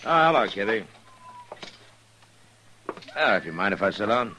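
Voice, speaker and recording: An American actor playing a laconic, steady Old West marshal in a 1950s radio western. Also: male voice, on the low side, normal speed. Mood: relaxed, amused. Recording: faintly noisy 1950s radio recording.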